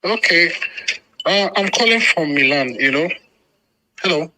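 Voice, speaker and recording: Agitated Nigerian man, piercingly bright voice. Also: on the high side, expressive, a little slow, slight background noise, rough recording. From Lagos, Nigeria. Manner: agitated, emphatic, repeats himself. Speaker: radio phone-in caller. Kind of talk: impassioned spontaneous phone call, some Nigerian Pidgin.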